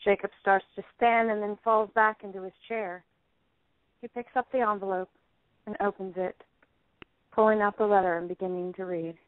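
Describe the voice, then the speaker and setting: A woman's voice: medium pitch, steady tone, normal speed, dry recording. A woman reading scripted stage directions as the narrator of an audio play. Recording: phone-like lo-fi line.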